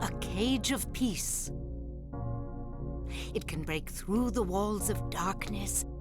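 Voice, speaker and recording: A cryptic old woman of prophecy, speaks very slowly and solemnly, as if every word were foretold. It is game cutscene acting, portentous and grave, with music playing strongly behind her. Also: mid-range voice. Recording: game audio under loud music.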